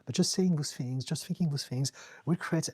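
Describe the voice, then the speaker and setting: A middle-aged man from France with a French accent, calmly reading viewer questions and answering them as a therapist on a live stream. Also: a little high, moderate pace, husky, no room sound. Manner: calm, reassuring, reads out viewer comments with light laughs. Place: home in the UK.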